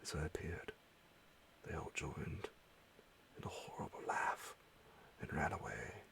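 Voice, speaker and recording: A man reading a horror bedtime story in ASMR style, hushed and half-whispered, breathy and gravelly, with dramatic pauses. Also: rumbling low, expressive. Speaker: audiobook narrator.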